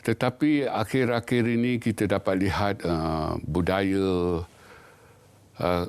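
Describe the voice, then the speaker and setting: A man from Malaysia, a professor guest on a studio panel discussion, speaking in a measured, thoughtful way with frequent hesitations. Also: low-pitched, fairly even intonation, darkish tone, clear, resonant tone.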